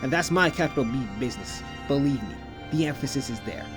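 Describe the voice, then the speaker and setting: A man's voice: mid-range voice, lively intonation, fast, close to an everyday voice. Man playing a laid-back, slangy young guy. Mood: defensive, rambling. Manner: casual, rambling, slangy, wry. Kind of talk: comic fan dub voice acting. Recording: music behind the voice.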